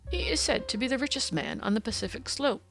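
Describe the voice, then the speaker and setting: Audiobook role: haughty aristocratic gentleman, prematurely aged, stately and wordy, animated pitch female narrator acting him out. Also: quick pace, faint music behind. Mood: stiff, reserved. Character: pompous, petulant, foppish aristocratic English gentleman, prematurely aged. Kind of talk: audiobook character dialogue.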